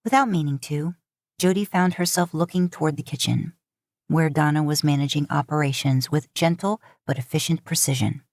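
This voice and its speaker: A woman's voice: low voice, moderate pace, darkish tone, no echo. A woman reading a story as a scripted narration. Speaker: podfic narrator. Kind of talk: scripted narration of fan fiction.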